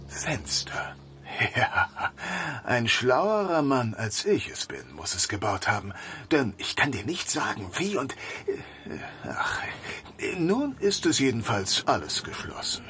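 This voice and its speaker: Casting: sly, stout master thief, fantasy radio play, wry and daring, very varied intonation, some background noise. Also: male voice, medium pitch. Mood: amused, musing. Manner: wry, theatrical, halting with mutters and chuckles. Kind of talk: German fantasy audio drama acting. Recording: noticeable background noise and ambience.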